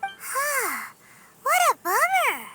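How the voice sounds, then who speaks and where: A woman voicing a small, curious and outspoken childlike companion girl in a video game, with a squeaky voice. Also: wildly swooping pitch, drawn-out words, exaggerated voice. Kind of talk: video game cutscene acting. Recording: loud music behind the voice.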